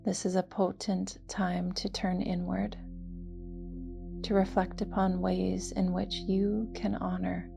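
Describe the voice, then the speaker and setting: A woman leading a guided yoga nidra meditation in a soft, soothing, near-whispered voice with gentle, measured phrasing. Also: medium pitch, vocal fry.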